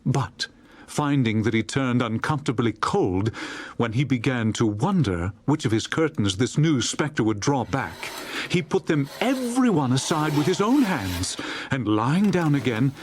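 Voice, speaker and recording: A man narrating a classic story as a dramatized audiobook, in a theatrical, expressive storytelling manner. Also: medium pitch, a little slow. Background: music.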